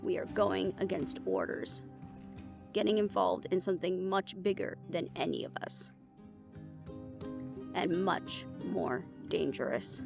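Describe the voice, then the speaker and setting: A woman's voice: medium pitch, gravelly. An actress playing a wary, protective and responsible woman hunter in a fantasy audio roleplay, blunt and skeptical in manner. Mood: grave, cautioning. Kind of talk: scripted audio roleplay drama. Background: background music.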